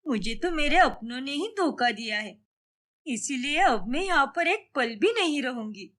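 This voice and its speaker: A woman's voice: sits high, expressive, measured pace, a bit bright. Lady doing a wronged young sorceress out for revenge, accusing and dramatic, voice swings a lot, storybook cartoon. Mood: hurt, resolute.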